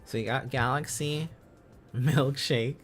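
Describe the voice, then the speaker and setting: Guy livestreamer just thinking out loud, slow halting talk, kinda rambly, faint music behind him. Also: mid-range voice, steady tone. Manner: rambling, musing aloud in halting repeated phrases. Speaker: livestream host. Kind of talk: casual livestream chat while learning a language.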